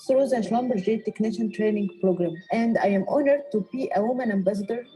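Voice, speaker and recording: A woman's voice: medium pitch, fairly quick, dark tone, breathy edge, faint music behind, poor audio. A young woman from Egypt narrating a scripted personal testimonial as a technician.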